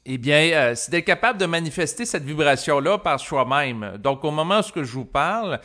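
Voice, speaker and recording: A man from Quebec with a Québécois French accent, speaking an unscripted reflective monologue to listeners in a halting, roundabout way with many hesitations. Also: a little high, animated, bright, forceful tone. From Quebec, Canada. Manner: halting, roundabout, with frequent hesitations and long lead-ins. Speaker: host of spiritual self-help recordings.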